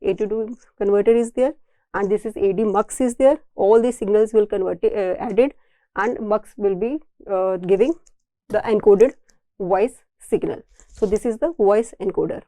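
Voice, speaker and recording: A woman's voice: mid-range voice, moderate pace, warm tone, no room sound. A woman with an Indian accent giving an engineering lecture, explaining slides in a didactic way and restating key points.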